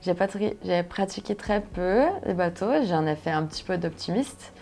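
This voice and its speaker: A young woman from Brazil, a native Portuguese speaker with a Brazilian accent, telling her story conversationally and haltingly as she searches for words. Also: fairly low pitch, steady tone, dark tone. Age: young adult. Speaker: interview guest, solo sailor.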